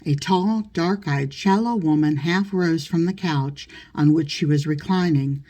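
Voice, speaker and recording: A woman's voice: low-pitched, measured pace, dark tone. A woman reading literary narration aloud as an audiobook reader.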